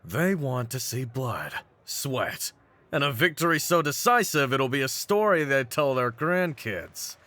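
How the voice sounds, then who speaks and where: Casting: male actor for cocky brawler champion, deep voice, streetwise slang, mocking confidence, in-character roleplay audio. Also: very animated, measured pace, pushed and bright, dry recording. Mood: proud, hyped. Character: cocky, brawny half-breed pit-fighting champion, hyping his partner.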